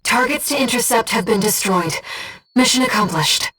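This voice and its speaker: A woman voicing a gentle, composed, earnest disembodied female guide in a video game, speaking in a measured, softly formal and precise way. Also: fairly high pitch, lively intonation, husky, recorded from a distance, low-quality recording. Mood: composed, focused. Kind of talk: scripted video game voice acting, mission guidance. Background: music.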